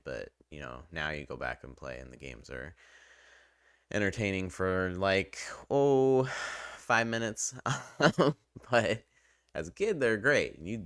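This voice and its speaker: Guy w a deep clean voice doing a chatty off the cuff game review, kinda hesitant, thinking out loud. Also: smooth, dry recording, studio quality. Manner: hesitant, conversational, hedging opinions as he goes. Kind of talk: casual unscripted review commentary.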